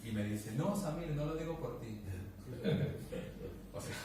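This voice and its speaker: A man chats and tells stories, acting out what people said and restarting his phrases often. He is heard from a distance in a very echoey room. Steady background noise sits under his voice. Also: on the high side, measured pace. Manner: animated, acts out dialogue, many restarts. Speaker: guest speaker. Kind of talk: casual conversational storytelling.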